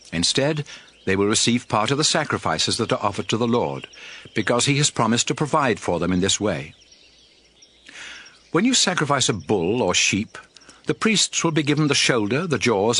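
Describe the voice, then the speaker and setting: A man playing a solemn prophet lawgiver in a dramatized audio Bible, addressing his people with emphatic, deliberate, instructive phrasing. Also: mid-range voice, a bit bright, breathy edge. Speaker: scripture narrator.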